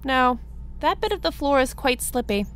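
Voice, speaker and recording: Woman as deadpan, high-voiced, blasé insurance agent. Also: moderate pace, slight background noise. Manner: deadpan, unbothered. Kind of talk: comedy audio drama acting.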